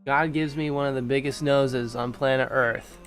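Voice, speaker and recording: A man's voice: medium pitch, steady tone, moderate pace, soft background music. A young man hosting a live stream in casual, slangy chat, sounding stuffed-up and nasal from allergies. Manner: laid-back slangy chatter. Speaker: livestream host.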